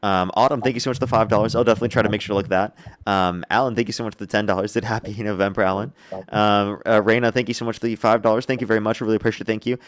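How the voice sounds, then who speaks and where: A young man hosting a live debate stream, briskly taking callers and repeating his stock lines to viewers. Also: lowish voice, fairly even intonation. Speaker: livestream debate host. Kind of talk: live-stream call-in chat.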